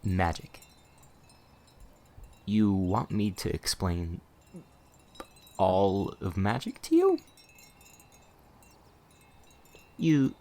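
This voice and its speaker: A man acts out a humble male witch who keeps a little shop, in an ASMR roleplay. His voice sits on the low side. He is talkative and easily flustered, stumbling over words and rambling eagerly through explanations. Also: darkish tone, full character voice. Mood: earnest, wry.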